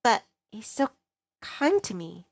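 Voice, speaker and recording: A woman's voice: on the high side, very animated, slow, vocal fry, no echo. A woman streamer reading a visual novel aloud, with a dramatic read-aloud delivery. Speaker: VTuber game streamer. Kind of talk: reading visual novel dialogue and narration aloud, voicing characters. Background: game music.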